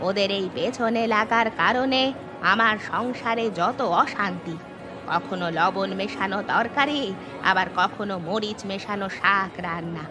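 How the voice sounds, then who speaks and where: Slow-talking elderly village mother-in-law played by a woman. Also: mid-range voice, over music, natural voice. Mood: fretful, complaining. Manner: plain-spoken, folksy, instructing her daughters-in-law. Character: elderly village mother-in-law, troubled but shrewd and firm. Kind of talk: scripted cartoon story acting.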